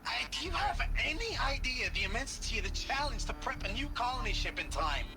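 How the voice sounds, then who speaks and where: A man's voice: extremely high pitch, sing-song, a little fast, over music. An actor playing an agitated, sarcastic, incredulous male scientist in a video game cutscene, speaking emphatically. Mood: agitated, insistent.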